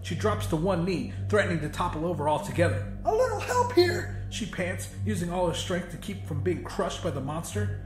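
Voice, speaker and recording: A man's voice: high-pitched, fairly quick. A man narrating a first-person horror audiobook in an intense, emphatic, dramatic style. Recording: roomy echo, music behind.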